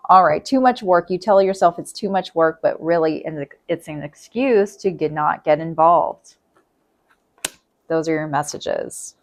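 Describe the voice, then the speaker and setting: A woman reading tarot in a casual, chatty way, thinking aloud and repeating herself, her voice going hoarse. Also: on the low side, slight background noise. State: losing her voice. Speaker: tarot reader.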